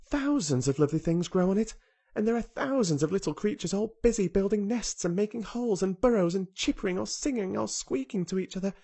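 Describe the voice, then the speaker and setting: A man's voice: sits high, expressive, brisk, vocal fry, warm tone, husky, voice pushed up, heavily acted. A man reading aloud who voices a headstrong, contrary young girl with an earnest, blunt way of speaking. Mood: eager.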